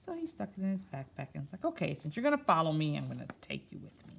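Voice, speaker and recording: A woman reading a picture book aloud expressively, slipping into quieter, musing, conversational asides. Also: low voice, very animated, quick pace, creaky, a little hiss or hum. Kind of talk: expressive read-aloud with soft, chatty asides.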